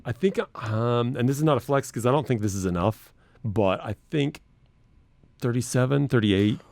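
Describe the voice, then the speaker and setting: A man's voice: medium pitch, moderate pace, creaky, darkish tone, dry recording. A man hosting a radio show, chatting casually in a studio, laughing easily and telling stories.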